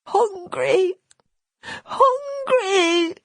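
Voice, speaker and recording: A woman's voice: extremely high pitch, animated, very slow, no echo, pitched above the performer's own voice, heavily acted. A woman voicing a tiny, hungry little boy in an audiobook, tearful and pleading in childish broken speech. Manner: whimpering, childish broken grammar. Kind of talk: audiobook character acting.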